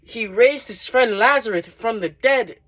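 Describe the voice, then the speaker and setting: A man playing a devout former tax collector in a dramatic monologue, giving earnest, measured testimony. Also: extremely high pitch, bright, forceful tone, voice pushed up, exaggerated voice, low-quality recording. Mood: fervent, awed. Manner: earnest, measured, emphatic storytelling.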